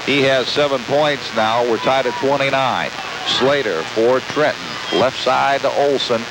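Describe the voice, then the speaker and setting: A man's voice: mid-range voice, a little slow. A man calling live basketball play-by-play on the radio in clipped, descriptive phrases. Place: courtside in a large sports dome. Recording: hissy, noisy amateur tape of radio broadcast.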